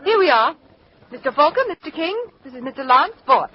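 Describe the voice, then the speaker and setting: A woman's voice: sits high, sing-song, fairly quick, a faint crowd. An actress playing an earnest, anxious young woman in a 1940s radio mystery, polite and hesitant with a pleading manner. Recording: muffled vintage radio recording.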